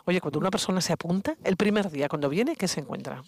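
A man hosting a talk segment, firing off questions at a rapid clip in a slightly raised voice. His delivery is quick and conversational, nudging guests along with short prompts. The sound is close and dry. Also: sits high, animated, dry recording. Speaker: radio host. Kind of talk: interviewing guests.

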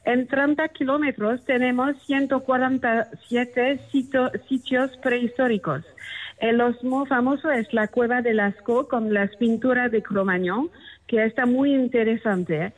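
A woman's voice: on the high side, highs cut off. A woman with a French accent, a native French speaker, answering interview questions hesitantly while she searches for words. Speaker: tourism office guest. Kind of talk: interview answers in a second language.

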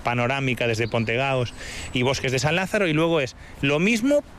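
A man's voice: mid-range voice, brisk, fairly bright tone, a little hiss or hum. Enthusiastic tour guide man, outdoors. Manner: explanatory, enthusiastic. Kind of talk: casual outdoor conversation. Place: outdoors on a riverside trail.

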